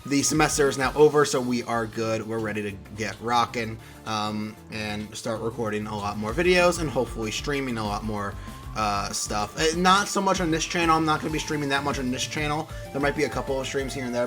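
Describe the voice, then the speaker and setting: A man's voice: medium pitch, a bit bright. A young man with a cold and a sore throat, talking casually and rambling with many fillers and restarts as he introduces a card game deck. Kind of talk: casual deck profile vlog.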